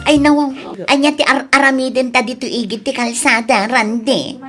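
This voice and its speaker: Actress as a high-pitched, fussy young woman. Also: fairly even intonation, moderate pace, a bit bright, soft background music. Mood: indignant. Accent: Filipino. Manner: whiny, haughty, indignant. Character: fussy young single woman, demanding high-maintenance date. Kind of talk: Filipino radio comedy-drama acting.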